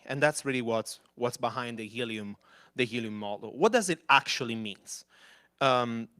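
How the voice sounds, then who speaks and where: A man's voice: mid-range voice, a bit bright, clean tone. Italian-accented man giving a conference talk. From Italy. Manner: loose and conversational, with many restarts and fillers. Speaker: company executive presenting. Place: event venue. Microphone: handheld mic.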